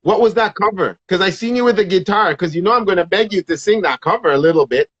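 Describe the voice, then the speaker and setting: A man's voice: high voice, fast, no echo. A man hosting an interview show, asking enthusiastic, rambling questions. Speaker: show host. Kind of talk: casual interview. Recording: dull dry sound.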